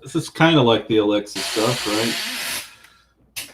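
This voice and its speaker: A man's voice: mid-range voice, very animated, slow pace, noisy background. A man with a Southern US accent hosting a study group, walking through a coding lab while thinking aloud. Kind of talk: live coding walkthrough.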